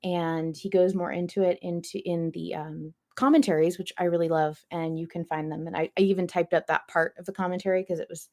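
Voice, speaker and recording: A woman's voice: on the low side, level tone, fairly quick, hardly any pauses, a little mellow. A woman hosting a podcast, talking casually in a chatty, explanatory way with some emphasis. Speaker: podcast host.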